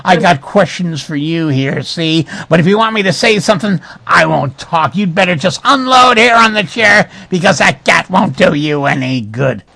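Man acting a male private detective, pulp parody, high-pitched, melodramatic, protesting, animated. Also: normal speed, gravelly, hardly any pauses, heavily acted. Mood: defiant, sullen.

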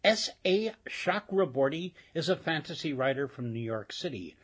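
A man's voice: a little high, animated, moderate pace, fairly bright tone, husky. A man hosting a radio show, giving a chatty, rambling introduction with playful asides. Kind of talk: casual spoken introduction.

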